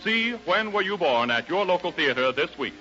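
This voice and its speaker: A man's voice: a little high, sing-song, moderate pace, pushed and bright, faint music behind. An American man announcing a 1930s radio commercial in an emphatic, polished delivery. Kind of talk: 1930s radio advertising pitch. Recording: dull old 1930s radio broadcast recording.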